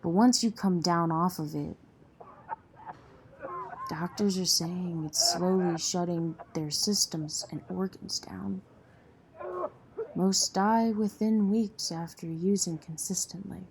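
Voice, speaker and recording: A woman's voice: on the low side, steady tone, slow, vocal fry, pauses often, a little hiss or hum, rough recording. A woman playing a tough, jaded relief center volunteer in an audio drama, blunt and world-weary. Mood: grim, explaining.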